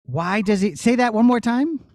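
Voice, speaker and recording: High-pitched man explaining things to children. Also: lively intonation, normal speed, a little mellow, no echo, studio quality. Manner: explains step by step in simple child-friendly words. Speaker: puppeteer presenting his show. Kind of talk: demonstration and Q&A for children. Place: puppet theatre studio.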